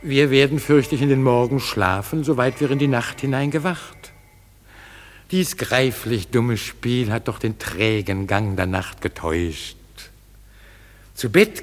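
An actor playing an amused, commanding nobleman in a radio play, with stately theatrical declamation. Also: mid-range voice. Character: Theseus, noble duke, amused and commanding host of festivities. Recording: long hall reverb, faint music behind.

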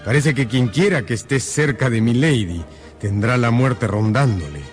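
An actor playing a stern, authoritative count who acts as judge in a radio drama. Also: male voice, mid-range voice, moderate pace, faint music behind, natural voice. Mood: grave, businesslike.

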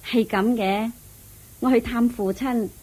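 A high-voiced, coaxing Cantonese queen. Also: steady tone, slow pace, darkish tone, breathy edge, poor audio. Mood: cheerful, explaining. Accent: Hong Kong Cantonese. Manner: coaxing, explaining patiently. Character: gentle, proud, persuasive young queen consort. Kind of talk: radio drama acting.

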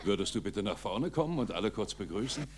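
Ein Mann spielt in einem deutschen Hörspiel einen resoluten Lehrer vor seiner Klasse. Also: male voice, lowish voice, animated, fast, over a noisy crowd, natural voice. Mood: brisk. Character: brisk male schoolteacher addressing his rowdy class. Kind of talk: German audio drama acting. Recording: loud background noise.

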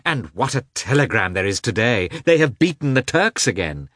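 A man's voice: medium pitch, big pitch swings, moderate pace, fairly bright tone, dry recording, clean studio sound, pitched above the performer's own voice. A man voicing an eager, gossipy society princess in a classic novel, insistent and keen to share news. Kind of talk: audiobook character voice in a classic novel.

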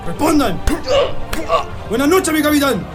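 Chilean military guard, male actor, radio play. Very high-pitched, hostile, music clearly behind. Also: big pitch swings, measured pace, heavily acted. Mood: aggressive. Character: aggressive, abusive soldier guarding prisoners. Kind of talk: radio drama acting.

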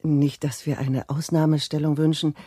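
An elderly wife in a radio drama, anxious and deferential. Her voice is dark and rough-edged, recorded very dry. She asks hesitantly but keeps pressing. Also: low voice, little pitch movement, normal speed, no room sound. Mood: worried, insistent. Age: older. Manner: hesitant, insistent, deferential questioning. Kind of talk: radio play acting.

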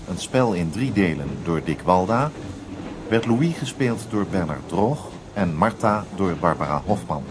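A man's voice: on the low side, background noise. A man reading out radio drama credits as a scripted, formal announcement.

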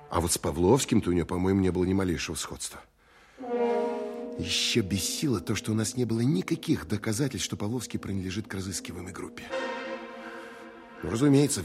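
Low-voiced sardonic wartime officer, music behind. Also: male voice. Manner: colloquial, sardonic, grumbling. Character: cynical, irritated wartime counterintelligence officer, male, thinking aloud. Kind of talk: Russian audio drama interior monologue.

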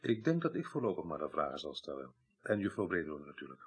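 An actor playing a courteous, businesslike police inspector in a radio drama, asking questions politely. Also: male voice, rumbling low, very animated, quick pace, slightly rough, full character voice. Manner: polite, hesitant hums, questioning. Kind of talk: 1980s Dutch radio drama acting.

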